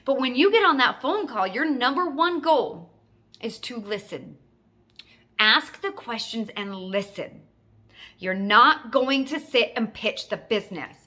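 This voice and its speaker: Woman, forceful bright tone, slightly high pitch, instructive, emphatic, informal coaching. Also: lively intonation, measured pace. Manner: emphatic, instructive, repeats key phrases for stress. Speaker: network marketing coach. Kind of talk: informal sales training talk.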